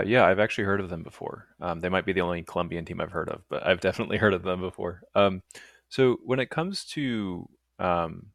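Upbeat low-voiced male podcast host. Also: vocal fry, a bit bright, no room sound, studio quality. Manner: upbeat, friendly, frequent ums and laughs. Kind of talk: casual podcast interview.

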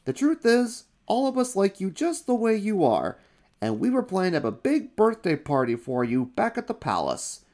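A man voicing an eager, fussy royal helper in a storybook reading, talking loud and high. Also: wildly swooping pitch, moderate pace, exaggerated voice. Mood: earnest.

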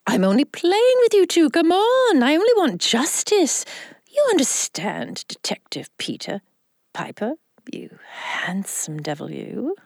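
Flamboyant rich old widow, swooping delivery. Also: female voice, sits high, wildly swooping pitch, a little slow, a little mellow, no room sound, clean studio sound, full character voice. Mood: playful, coaxing. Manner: theatrical, grand, flirtatious drawl. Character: flamboyant, lusty, vengeful wealthy widow in her seventies. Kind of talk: audio drama acting.

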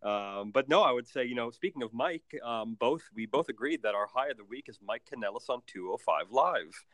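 A man co-hosting a casual wrestling podcast, a little raspy and phlegmy from a cold, talking as an eager fan. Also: mid-range voice, expressive, brisk, fairly bright tone, dry recording. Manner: enthusiastic fan talk, fillers. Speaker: podcast co-host. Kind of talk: casual chat.

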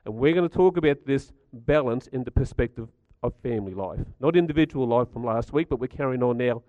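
Middle-aged man with a croaky voice, chatty talk to an audience, mid pitch, dry muffled recording. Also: fairly quick, no room sound. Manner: chatty, stats-driven, asks the audience questions. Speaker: speaker at a community gathering.

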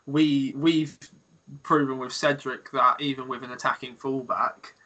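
Bright-voiced young man, hesitant. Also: medium pitch, unhurried, lo-fi sound. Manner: hesitant starts, then steady reasoning. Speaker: podcast co-host. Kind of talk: casual chat.

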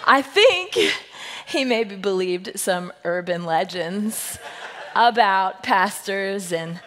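A woman in her thirties, a senior pastor with a husky voice, giving a playful, joke-filled sermon to her congregation. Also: mid-range voice, slow, slight background noise. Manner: playful storytelling, self-deprecating jokes, lively quoted voices. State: husky voice today. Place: church sanctuary. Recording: long reverb of a large hall.

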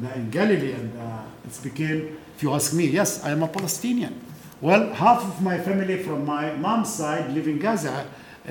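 A man giving an earnest lecture answer, acting out conversations and often checking in with "okay?". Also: fairly high pitch. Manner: earnest, re-enacts dialogues, frequent "okay?" tags. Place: lecture room. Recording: echoey lecture hall, faint hiss.

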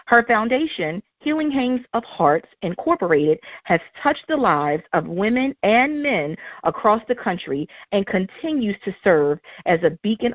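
A woman's voice: mid-range voice, no room sound, dull audio. A woman hosting a talk show, reading her guest's introduction and asking questions, with lots of encouraging interjections.

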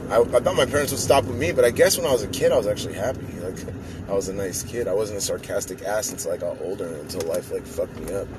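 A young man with a deep baritone voice, talking in a sarcastic, self-deprecating ramble full of fillers and restarts. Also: quick pace, recorded from a distance, background noise. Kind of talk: rambling casual monologue to viewers.

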